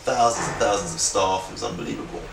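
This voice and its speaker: A man, an actor being interviewed at home, telling stories in a lively way and often asking "right?". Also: sits low, fast, creaky, reverberant, distant mic, background noise. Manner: animated storyteller, repeats phrases, says "right?" often. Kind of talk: casual interview chat. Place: his home, indoors.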